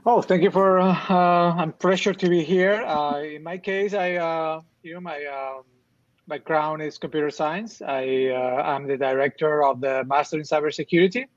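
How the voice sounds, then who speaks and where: Man from Spain, somewhat high voice, halting, lo-fi dry video call audio. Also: steady tone, no room sound. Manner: hesitant, halting, in quick bursts. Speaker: cybersecurity program director. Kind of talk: panel introduction.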